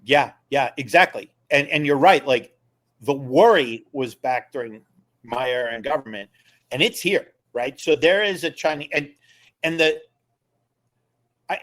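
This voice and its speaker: A man of middle pitch speaks as a guest on a podcast. He explains in an animated, emphatic way, pushing his points with quick asides. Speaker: guest, former government official and attorney. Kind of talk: casual interview conversation.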